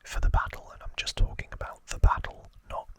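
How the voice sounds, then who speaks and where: A man hosting ASMR, whispering informal trivia narration in a hushed, rambling way with casual asides. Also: brisk, no echo. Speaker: ASMR host.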